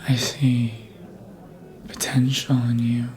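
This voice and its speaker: A performer voicing a suave, manipulative vampire in a whispered ASMR roleplay, breathy and deliberate, speaking close to the microphone. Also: medium pitch, flat delivery, a little mellow, lightly acted. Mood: soothing, seductive. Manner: slow, deliberate, hypnotic, insinuating.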